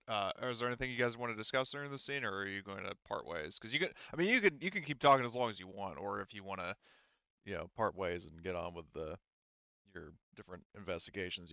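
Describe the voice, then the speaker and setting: Guy running a tabletop game, chatty, clean low voice, dry but a bit muffled. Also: animated, fast, vocal fry, pushed and bright, no room sound. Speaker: game master. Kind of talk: casual tabletop game moderation.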